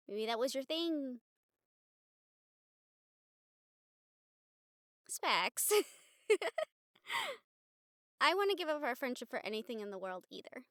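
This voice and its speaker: A woman plays an excitable young college girl with a very high, bright voice. She talks straight to the listener in a chatty, giggly, earnest way, as in an intimate audio roleplay. The recording is close and dry. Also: slow, pauses often, dry recording, exaggerated voice. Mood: teasing, affectionate. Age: young adult. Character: excitable, sweet young college girl, a medium befriending a ghost.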